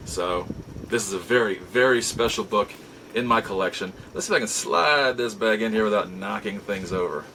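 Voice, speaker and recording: Bright-voiced middle-aged man, halting and reflective. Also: fairly low pitch, slight background noise, rough recording. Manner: halting, reflective, with emphatic repeats. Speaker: show host. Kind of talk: casual nostalgic storytelling to viewers.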